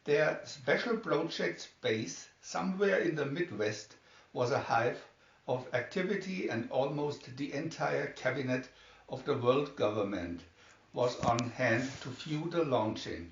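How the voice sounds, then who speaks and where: A man's voice: mid-range voice, lively intonation, unhurried. A man narrating a scripted adventure story, reading it aloud as a storybook narrator. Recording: roomy, distant, slightly dull home recording.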